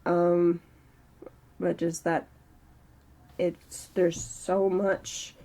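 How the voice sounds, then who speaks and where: A woman in her early thirties talking slowly and reflectively in a personal vlog, with a strained throat. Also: on the low side, flat delivery, mellow, rough recording. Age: early 30s. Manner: slow, halting, reflective. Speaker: channel host. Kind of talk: personal mental health vlog monologue.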